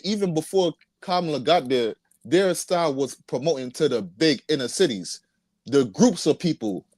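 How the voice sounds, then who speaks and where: A man's voice: fairly high pitch, a little mellow, no echo. Man hosting a casual podcast. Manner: interjects, steers talk, hesitant restarts. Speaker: podcast host. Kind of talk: casual political chat.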